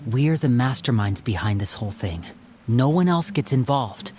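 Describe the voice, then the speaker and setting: An earnest young older brother voiced by a man in a video game, mid-pitched and articulate. He sounds protective and reassuring, with music clearly underneath. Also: expressive, normal speed, a little mellow, natural voice. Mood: earnest, conspiratorial.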